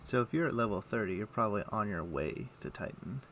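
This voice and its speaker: A man's voice: on the low side, animated, fast, a little hiss or hum. A man who streams games, chatting casually and thinking aloud in a rambling way as he plays. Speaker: game streamer. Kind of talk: casual livestream gameplay chat. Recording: loud game music behind voice. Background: video game music.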